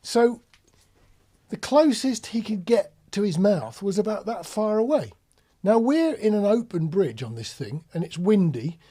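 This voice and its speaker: A British man gives an informal hands-on lesson in a plain-spoken, explanatory way. His voice sits fairly high and is lively in pitch, with a husky grain. He talks like an instructor who illustrates points with anecdotes. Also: a little slow. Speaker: marine radio instructor. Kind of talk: informal spoken tutorial with practical demonstrations.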